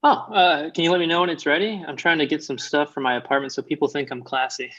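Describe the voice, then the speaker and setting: Reluctant male friend, thirties, actor in a comedy read, mid-pitched, awkward and halting, slightly muffled recording. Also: fairly even intonation, fairly bright tone. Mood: awkwardly friendly. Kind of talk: comedy pilot table read. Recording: muffled, dull online call audio.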